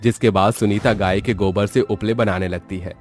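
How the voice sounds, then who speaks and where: A male narrator reads a horror cartoon tale. His voice is on the lower side and the delivery is scripted storytelling. Music plays softly behind him. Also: steady tone, moderate pace. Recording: background music under the voice.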